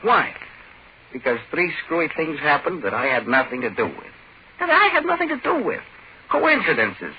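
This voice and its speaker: Through a dull, faintly noisy old radio recording comes an excitable little middle-aged businessman. His fairly high voice leaps around as he stammers and protests in vintage radio-drama style. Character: excitable bald pot-bellied little businessman, nervous and incredulous. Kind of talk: 1940s radio acting.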